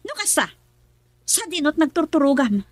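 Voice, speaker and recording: A woman's voice: high voice, sing-song, normal speed, no room sound, clean studio sound. An actress playing a young wife who suspects her husband in a radio drama, emotional and accusing, with an Ilocano accent. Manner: pleading then accusing, emotional.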